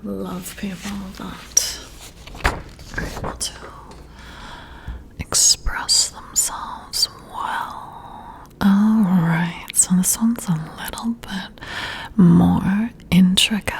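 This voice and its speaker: A woman's voice: medium pitch, steady tone. Woman playing a whispering, flirty female boss. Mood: flirty, intrigued. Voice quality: breathy whisper. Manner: slow, flirty, admiring, softly hesitant. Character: flirty, intrigued female boss giving a personality test. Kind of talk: ASMR whispered roleplay. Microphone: close-up ASMR mic.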